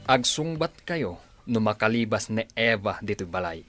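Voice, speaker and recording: An actor with an Ilocano accent playing a stern, commanding schemer in a radio drama, giving curt orders. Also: male voice, fairly low pitch, expressive, moderate pace, music in the background, lightly acted.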